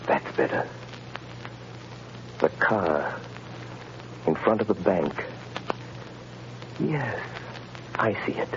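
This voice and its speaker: A man playing a mysterious invisible crime fighter, speaking in measured, authoritative commands in 1930s radio drama style. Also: fairly low pitch, muffled recording, heavily acted. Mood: intent. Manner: measured, hypnotic, authoritative instructions. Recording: scratchy, hissy 1930s radio broadcast.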